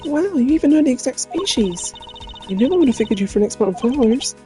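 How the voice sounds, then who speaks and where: A woman voicing a curious, eager young investigator's assistant in a video game, inquisitive and expressive. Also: high voice, quick pace. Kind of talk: voiced video game dialogue. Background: game music.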